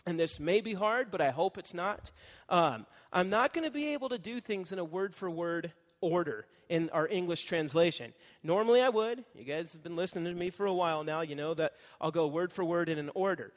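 A man's voice: high voice, lively intonation, brisk, big-room sound. A man preaching an expository sermon, explaining grammar in a conversational teaching manner and often checking in with the congregation. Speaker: pastor.

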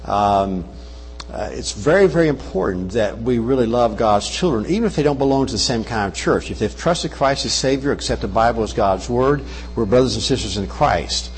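Older man, Kentucky accent, guest preacher, folksy and joking, mid-pitched voice, faint hum under it. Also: expressive, moderate pace, big-room sound, low-quality recording. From Brazil. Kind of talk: sermon. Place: church service. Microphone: wireless mic.

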